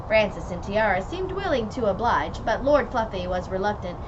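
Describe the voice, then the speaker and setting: A woman reading a children's picture book aloud with an expressive, animated delivery, for a kids' club. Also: mid-range voice, normal speed. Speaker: storybook reader for a kids' club. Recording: muffled, with vehicle noise.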